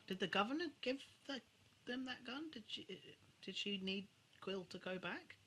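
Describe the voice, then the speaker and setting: A woman's voice: mid-range voice, lively intonation, unhurried, fairly bright tone. A woman chatting casually on a podcast panel, with noticeable background noise. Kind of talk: casual chat.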